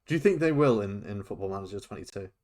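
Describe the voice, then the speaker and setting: A man's voice: on the low side, lively intonation, quick pace, a little mellow. A young man hosting a casual podcast, chatty, laughing often and tripping over his words. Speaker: podcast host. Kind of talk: casual chat.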